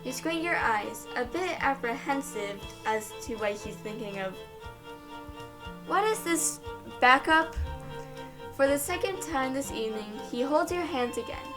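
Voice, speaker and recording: High-voiced young woman reading over music. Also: animated, moderate pace, few pauses. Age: young adult. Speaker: fan fiction reader. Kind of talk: expressive storytelling narration. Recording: music behind the voice.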